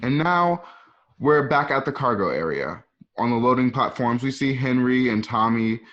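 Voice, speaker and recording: A man's voice: medium pitch, expressive, moderate pace. Casual male narrator, slightly muffled. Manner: casual, conversational asides. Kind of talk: informal narration of screen directions. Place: at home.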